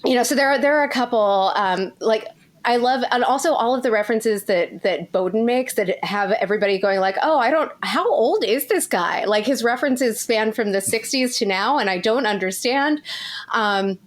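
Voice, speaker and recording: A woman's voice: fairly high pitch, moderate pace. A woman chatting informally as a cast member on a podcast panel. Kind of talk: casual panel chat.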